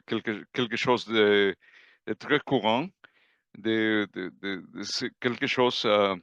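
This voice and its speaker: A man who is not a native speaker giving a grammar report at an online club meeting, halting with many restarts. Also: mid-range voice, a bit bright, no echo, dull audio. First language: not French. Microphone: video call.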